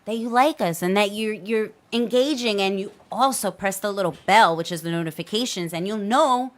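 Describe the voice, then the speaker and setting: A woman's voice: medium pitch, moderate pace. A woman hosting a podcast, introducing a guest with enthusiasm and asking her about her life. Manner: hyped, enthusiastic, shouting out the guest. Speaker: podcast host.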